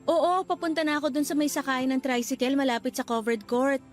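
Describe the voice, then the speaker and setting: A woman plays a sweet young office worker in a radio drama. Her voice is high and her manner friendly and polite, with faint music underneath. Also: fairly even intonation, fairly quick, natural voice. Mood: friendly, playful.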